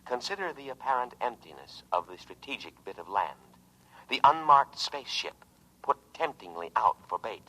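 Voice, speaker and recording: An actor playing a calm, pompous, paranoid war machine, a talking lifeboat, with solemn, measured oratory in an old radio drama. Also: male voice, medium pitch. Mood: coolly suspicious. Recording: muffled vintage radio broadcast recording.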